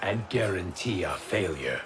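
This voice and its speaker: An actor playing a brisk, wry schemer in a fantasy video game, with a dry manner. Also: male voice, sits low, expressive. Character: brisk, wry male schemer among time travellers. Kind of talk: dramatic video game acting. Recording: loud music and crowd behind.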